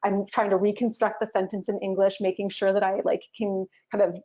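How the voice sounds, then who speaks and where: A young woman speaking earnestly and hesitantly as a guest, often pausing on fillers. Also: medium pitch, level tone, warm tone. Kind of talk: casual conversation. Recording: slightly muffled audio.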